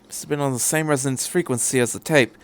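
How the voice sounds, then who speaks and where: A man's voice: mid-range voice, fast, natural voice. A man voicing a cheerful, chatty, eccentric male time traveller who rambles breezily in a fan fiction reading. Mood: apologetic, breezy.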